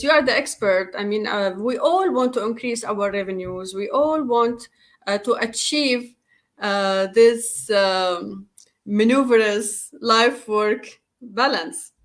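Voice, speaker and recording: A woman whose first language is Arabic, hosting an interview with enthusiasm and many halting fillers. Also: on the high side. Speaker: interview host.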